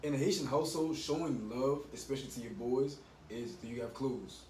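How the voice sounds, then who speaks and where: A man's voice: medium pitch, a little fast, darkish tone. A man in his early twenties, from Miami, talking earnestly and reflectively in a casual podcast chat. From Miami, United States. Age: 20s. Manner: earnest, reflective, opens up at length. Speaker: podcast guest. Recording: echoey room, mic at a distance, faint hum.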